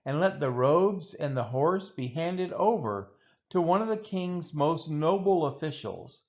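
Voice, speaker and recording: A man gives an expressive reading of scripture in measured, deliberate phrases. His pace is slow and his intonation rises and falls widely. The recording sounds a little dull and lo-fi. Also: on the high side. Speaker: scripture reader.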